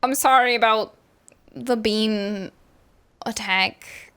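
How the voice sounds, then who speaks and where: A woman's voice: fairly high pitch, slow pace, fairly bright tone, clean tone. A woman streamer giving casual, playful live commentary on a video game, chiding and reacting to the characters. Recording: game music under the voice. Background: video game music.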